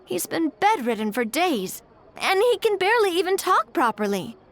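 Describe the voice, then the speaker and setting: A woman's voice: very high voice, sing-song, normal speed, full character voice. An actress playing an earnest, principled young woman in a video game, with a frank, conversational manner. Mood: weary, explaining.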